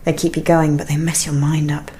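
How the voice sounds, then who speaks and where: A woman playing an anxious, secretive, grieving young wife in a naturalistic radio thriller, hushed and halting as she confides. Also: sits low, little pitch movement, background noise, lightly acted. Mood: agitated, confiding. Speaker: actor in an audio drama.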